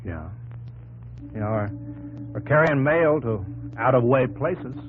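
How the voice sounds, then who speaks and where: An actor playing an earnest, determined young inventor in a 1950s radio drama, plain-spoken in manner. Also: male voice, mid-range voice, lively intonation, a little slow, natural voice. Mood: musing. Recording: tinny, faintly noisy 1950s radio broadcast.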